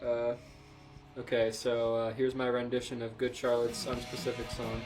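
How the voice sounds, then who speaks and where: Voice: medium pitch, completely flat delivery, moderate pace, over music. A podcast host reading fan fiction aloud in a casual, comedic, irreverent and sweary way, prone to giggling.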